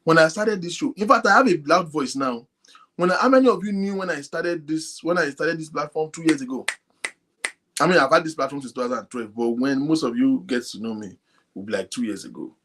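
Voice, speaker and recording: A man hosting an online talk show, speaking softly and addressing his viewers directly. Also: a little high, brisk. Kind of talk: casual talk to a live audience. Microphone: phone linked to a computer.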